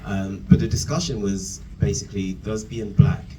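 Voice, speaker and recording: A man, speaking as a mentor to a room, measured with filler ums. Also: lowish voice, steady tone, far from the mic. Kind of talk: reflective talk to an audience. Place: room with an audience. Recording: from across a room, room noise.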